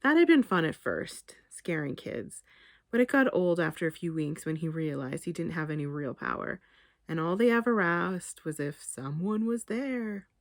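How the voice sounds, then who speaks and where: A woman author reading her short story aloud in a measured, expressive literary narration. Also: lowish voice, no room sound, studio quality.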